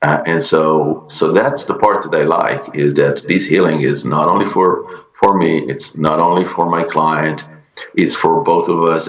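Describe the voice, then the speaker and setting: A middle-aged man from Israel, a native Hebrew speaker with an Israeli accent, speaking as a guest in a podcast interview, halting, with many fillers and restarts. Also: very deep voice. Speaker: guest, energy healer. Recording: dull lo-fi audio in an echoey room.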